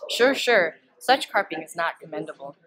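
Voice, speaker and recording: A teenage girl playing a deferential gentlewoman attendant who joins in a plot, in a student Shakespeare performance. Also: lowish voice, lively intonation, normal speed, breathy edge, poor audio. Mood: earnest, deferential. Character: Ursula, young gentlewoman attendant, deferential co-conspirator.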